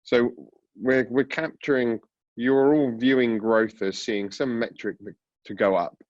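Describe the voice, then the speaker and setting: A man with a cold, sounding congested, presenting a product webinar with a relaxed manner and many fillers. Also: mid-range voice, a little slow, no room sound, muffled recording. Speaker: product coach giving a webinar talk. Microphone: video call.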